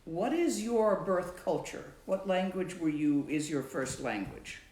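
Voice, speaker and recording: Slow-spoken woman teaching meditation. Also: fairly low pitch, lively intonation, darkish tone, roomy sound, a little hiss or hum, low-quality recording. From Latvian background. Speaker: Dzogchen teacher. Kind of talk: answering a student's question.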